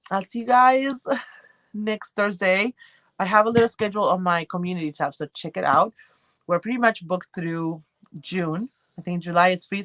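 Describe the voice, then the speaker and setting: A chatty woman with a light Argentine accent hosts a relaxed livestream, rambling from one thought to the next as she reads and answers comments. Her voice sits a little low for a woman, and the sound is dry and close with no room echo. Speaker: livestream host. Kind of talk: casual livestream chat with viewers.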